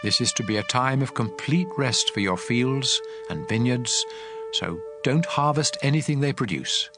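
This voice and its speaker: A stern, authoritative God played by a man lays down law in a dramatized scripture reading. The delivery is solemn and measured, with a mid-pitched male voice. Music plays clearly underneath. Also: expressive, lightly acted. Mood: solemn, instructive. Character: God of Israel, stern commanding male lawgiver.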